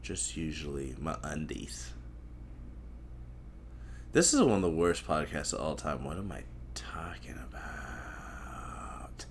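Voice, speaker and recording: A man's voice: extremely low pitch, expressive, slow, in a whisper. A young man hosting a solo podcast, rambling in a crude, profane and self-mocking way that often loses its thread. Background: faint noise from outside.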